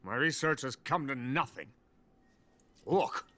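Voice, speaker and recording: An actor playing a drunk, boastful older Soviet weapons scientist in a video game cutscene. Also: male voice, mid-range voice, animated, slow, bright, forceful tone. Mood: bitter, scheming. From Soviet Union. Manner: pompous, self-important, bitter.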